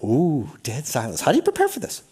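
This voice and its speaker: A Canadian man giving an energetic, interactive seminar talk, often putting questions to the audience. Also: a little high, brisk, breathy edge. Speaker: workshop speaker. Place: lecture hall.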